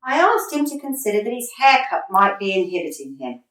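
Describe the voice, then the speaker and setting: A woman's voice: mid-range voice, big pitch swings, brisk, fairly bright tone, distant mic. A woman acting in a radio drama as a wry, unflappable middle-aged football manager with a dry, sardonic manner, in a roomy echo away from the mic. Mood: mock-innocent, flippant.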